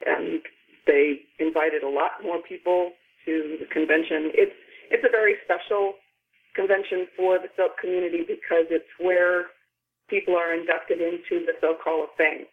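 A woman's voice: lowish voice, steady tone, moderate pace, husky. A middle-aged woman heard through a narrow telephone connection. She is a podcast guest, recounting and explaining in a conversational way. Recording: narrow, tinny phone line.

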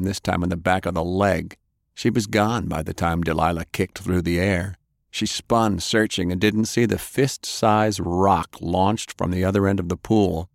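A man with a deep voice narrates an action tale as a scripted audiobook. The sound is dry, with hardly any room around him. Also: gravelly, no echo.

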